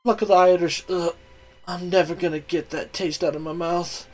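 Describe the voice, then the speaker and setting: A man voicing a brash, quick-tempered young woman who talks bluntly with plenty of slang. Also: high-pitched, fairly even intonation, faint music behind.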